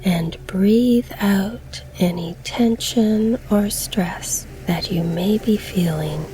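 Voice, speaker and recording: A woman leading a scripted guided meditation in a soft, breathy, soothing delivery with long pauses. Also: mid-range voice, background noise. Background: calm background music.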